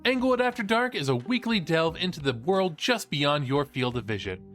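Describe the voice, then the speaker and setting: A man's voice: on the high side, sing-song, moderate pace, a bit bright, soft background music. A man playing an eager young college podcaster and ghost believer in an audio drama, with a showy, playful delivery. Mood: showy, enthusiastic. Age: young adult. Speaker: podcast co-host character.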